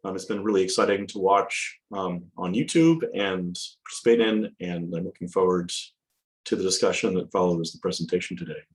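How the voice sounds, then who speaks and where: A man with a cold, sounding raspy and a bit hoarse, giving a measured academic lecture over a video call, with frequent ums and restarts. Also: deep voice, rough recording. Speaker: guest lecturer.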